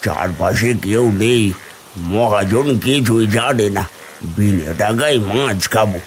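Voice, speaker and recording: A man's voice: on the low side, slow, a little hiss or hum. A man voicing a sly, greedy villager in a cartoon, furtive and conspiratorial. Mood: gleeful scheming. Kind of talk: cartoon dialogue.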